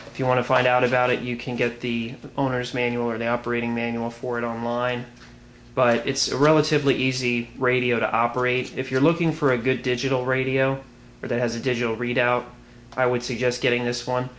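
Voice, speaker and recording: American man, even tone, noisy recording. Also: mid-range voice, fairly quick. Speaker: video reviewer. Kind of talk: informal product demonstration. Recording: clearly noisy amateur video sound.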